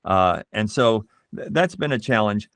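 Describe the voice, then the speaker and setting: A middle-aged man being interviewed as a voice actor, explaining things in a measured, reflective way and pausing to find his words. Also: fairly low pitch, expressive, a bit bright, no echo.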